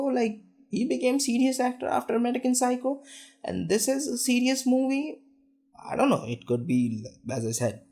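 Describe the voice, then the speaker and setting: A man's voice: medium pitch, mellow. A young man hosting a casual tech news roundup, chatty and self-deprecating, with frequent restarts and asides. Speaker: tech news show host.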